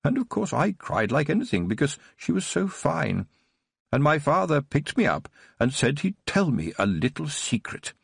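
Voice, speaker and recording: A husky man reading as a frail old nobleman, lifted a little higher than his own voice, drifting into tender memories with a trembling, wistful lilt. The delivery is warmly animated and gravelly, recorded close and dry. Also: a little slow, dry recording, full character voice. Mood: wistful, joyful. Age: older. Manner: trembling, wistful, rambling recollection. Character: frail elderly male aristocrat, tearful and nostalgic.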